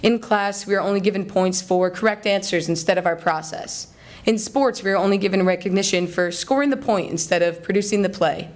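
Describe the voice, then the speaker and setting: A young American woman delivering a rehearsed persuasive speech to an audience, in an earnest and deliberate rhetorical manner. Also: mid-range voice. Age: young adult. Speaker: student speech contest finalist. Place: college hall, before a live audience.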